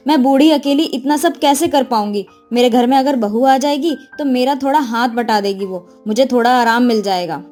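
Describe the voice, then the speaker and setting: A woman's voice: high-pitched, normal speed, few pauses, a little mellow, soft background music, natural voice. A woman voicing an elderly, hardworking village mother-in-law with a nagging, instructive manner in a cartoon moral story. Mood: pleased, pleading. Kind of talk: Hindi animated moral story dialogue.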